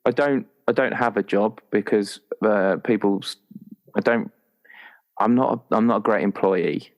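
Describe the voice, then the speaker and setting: A man in a casual, halting chat as a roleplay player, often restarting his phrases. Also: on the low side. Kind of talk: casual chat, creating a game character.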